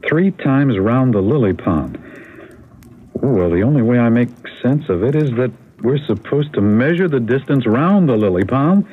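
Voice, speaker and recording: An actor playing an eager, methodical, slightly stammering husband on a treasure hunt in a radio mystery drama. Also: male voice, mid-range voice, moderate pace, lightly acted. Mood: reasoning, excited. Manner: stammering, excitable. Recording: narrow-band, noisy radio drama audio.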